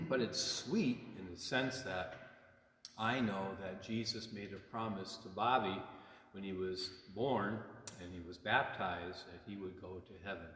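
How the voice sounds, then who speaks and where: A man in his sixties, a priest, talking informally and simply to children at storytime, gently explaining and checking in with questions. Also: fairly low pitch, measured pace, a little hiss or hum. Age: 60s. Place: inside a church. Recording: echoey, long reverb of a church.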